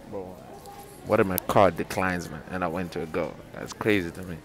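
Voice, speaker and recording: A young man in a casual selfie vlog, joking and boasting in street slang as he walks around outdoors, talking into a wired earphone mic. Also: low-pitched. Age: young adult. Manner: joking, swaggering, self-mocking street slang. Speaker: vlogger. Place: outdoors on city streets and inside a shop. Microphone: wired earphone mic, handheld phone from below. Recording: loud street noise from traffic.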